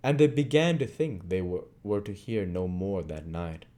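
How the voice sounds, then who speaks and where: A man narrates a classic novel. His voice is on the low side and very mellow. He reads in deliberate phrases, giving key words dramatic emphasis. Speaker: audiobook reader. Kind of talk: reading a classic novel aloud.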